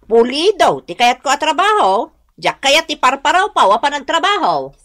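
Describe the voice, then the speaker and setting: A sharp, high-voiced haughty bossy woman. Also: big pitch swings, normal speed. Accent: Ilocano. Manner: domineering, scolding, sharp. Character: haughty, quarrelsome, well-off woman bossing workers and neighbours. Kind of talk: Ilocano radio drama acting.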